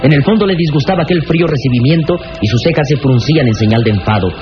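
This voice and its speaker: A man's voice: on the high side, fast talker. A man narrating an old radio serial in a scripted, dramatic style. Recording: dull vintage radio recording with music.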